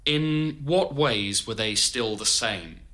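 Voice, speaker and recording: An actor playing a curious, slightly awkward oral history interviewer in an audio drama, asking questions in a hesitant, inquisitive way. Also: male voice, lowish voice, takes it slowly, sharp tone.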